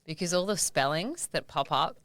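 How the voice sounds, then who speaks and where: A middle-aged woman from New Zealand with a deep, husky smoker's voice, chatting as a podcast co-host with dry quips. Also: expressive, normal speed, fairly bright tone, a little hiss or hum. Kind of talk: casual chat.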